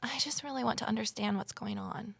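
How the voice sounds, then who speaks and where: An actress playing an eager, easily flustered rookie conservation officer and amateur sleuth in an audio drama, earnest and inquisitive. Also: female voice, on the high side, a little fast, gravelly, a bit bright, husky, no room sound, full character voice. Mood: sheepish, apologetic. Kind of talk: naturalistic audio drama acting with first-person narration.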